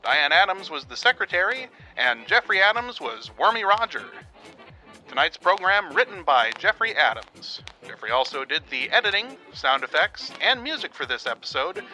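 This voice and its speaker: Bright-voiced man reading radio credits. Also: high voice, poor audio. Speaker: show announcer. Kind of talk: scripted closing credits.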